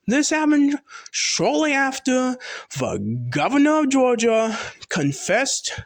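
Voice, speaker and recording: A man's voice: high voice, exaggerated voice. A man playing a local TV news anchor in an amateur drama, with informal, dramatic delivery. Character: male local TV news anchor sharing grave news. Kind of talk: live news report.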